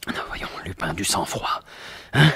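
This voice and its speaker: An actor playing a wry, self-mocking gentleman burglar in a theatrical, colloquial 1950s French radio drama style. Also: low-pitched, sing-song, a little slow, creaky, slightly rough, in a whisper, full character voice. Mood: self-coaching, hushed. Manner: theatrical, talks to himself, colloquial.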